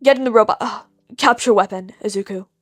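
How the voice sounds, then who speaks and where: A woman voicing a gruff, dry, annoyed grown man, a stern hero, in a deadpan, slow manner for an audio story. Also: mid-range voice, no echo, soft background music, voice pushed down, natural voice.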